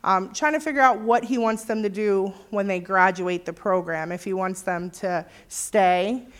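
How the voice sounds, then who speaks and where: A woman on the staff of a recovery program, giving a relaxed, informal talk to a visiting group with many filler ums. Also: medium pitch, moderate pace.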